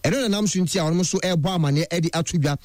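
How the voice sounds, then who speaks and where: A man reading the news on the radio in a measured way. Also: a little high, a little mellow, no room sound, studio quality.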